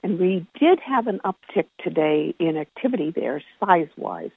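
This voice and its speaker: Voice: mid-range voice, expressive, moderate pace, gravelly, slightly rough. A person giving an informal spoken report from notes on a conference call, in a conversational way with self-corrections, heard over a telephone line. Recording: tinny, phone-like conference call line with echo.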